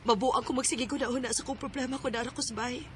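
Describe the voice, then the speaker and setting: A woman's voice: on the high side, fairly even intonation, racing, fairly bright tone, a little hiss or hum, lightly acted. A woman with a Filipino accent playing a troubled, anxious wife hiding an affair in a radio drama. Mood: agitated.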